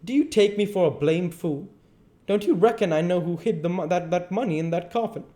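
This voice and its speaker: A man's voice: fairly high pitch, expressive, moderate pace, mellow, voice pushed up, close to an everyday voice. A man voicing a domineering, scornful swindler who scolds in folksy rural speech, in a dramatised audiobook. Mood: irritated.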